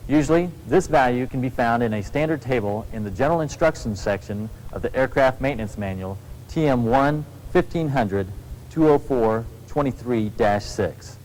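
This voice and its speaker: An American man with a medium-pitched voice narrates a technical training film. He reads the script formally and deliberately, in a matter-of-fact way. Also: expressive, a little mellow, a little hiss or hum, low-quality recording.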